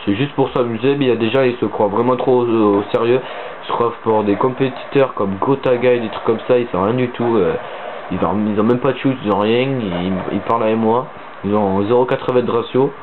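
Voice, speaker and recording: A man's voice: medium pitch, fairly quick. A teenage boy with a cold and a stuffy nose, rambling casually with many hesitations as a gaming channel host. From southern France. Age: teens. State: stuffy-nosed from a cold, sniffling. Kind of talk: casual gaming commentary voice-over. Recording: tinny phone-like mic with faint hiss.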